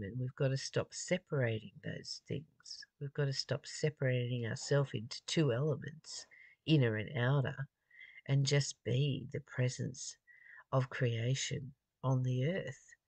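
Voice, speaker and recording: A person reading a spiritual text aloud and adding informal, reflective commentary, pausing mid-phrase in a halting way. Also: medium pitch, steady tone, gravelly, a little mellow, no echo. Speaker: spiritual study reader and commentator.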